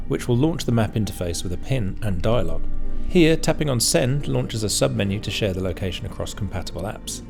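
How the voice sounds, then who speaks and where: Low-voiced man narrating a tutorial over music. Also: creaky. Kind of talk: scripted instructional narration. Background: background music.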